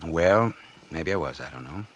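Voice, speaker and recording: An actor playing a scheming, smug American publisher in 1940s radio noir, speaking in a sardonic, confiding way. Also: male voice, rumbling low, lively intonation, moderate pace, dull audio. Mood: evasive. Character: scheming, smug publisher narrating his crime, smitten lover. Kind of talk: 1940s radio noir drama acting and narration. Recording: vintage 1940s radio recording with music.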